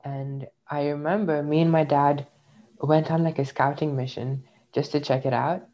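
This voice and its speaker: A man's voice: fairly high pitch, level tone, moderate pace. Young guy from india, grew up in the uae, thoughtful reflective storytelling, kinda dull lo-fi sound. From India, raised in the UAE. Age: young adult. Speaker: interview guest, graduate student. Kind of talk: personal testimony in conversation.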